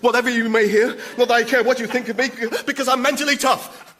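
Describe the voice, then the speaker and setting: An actor playing a needy, nervous demon underling in a radio sitcom, stammering, flustered and fawning. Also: male voice, very high-pitched, fairly even intonation, fast, background noise. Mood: flustered denial.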